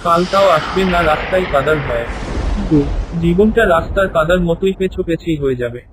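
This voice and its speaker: High-pitched plaintive village fish seller, acted by a man. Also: lively intonation, brisk, noisy background, close to an everyday voice. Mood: worried, complaining. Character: struggling village fish seller, worried, stubborn husband. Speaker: cartoon voice actor. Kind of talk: Bengali cartoon story acting.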